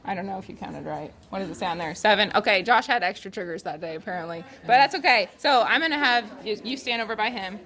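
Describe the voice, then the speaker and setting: A woman's voice: mid-range voice, expressive, fast, hardly any pauses, pushed and bright. A woman leading a volunteer training activity, giving informal instructions to a group in a casual, improvising way.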